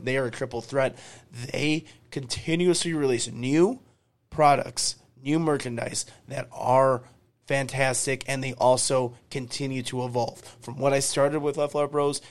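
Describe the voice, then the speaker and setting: Man, hoarse voice, podcast host reading ads, flat monotone delivery. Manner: upbeat salesy pitch, repeats promo details. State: hoarse, losing his voice. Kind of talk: podcast intro and ad reads.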